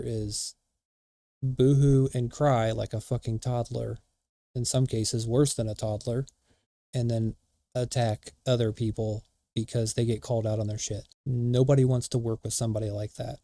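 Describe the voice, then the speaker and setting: Blunt profane man ranting, mellow dry voice. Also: medium pitch, no room sound. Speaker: streamer reacting to a video. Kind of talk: casual reaction commentary.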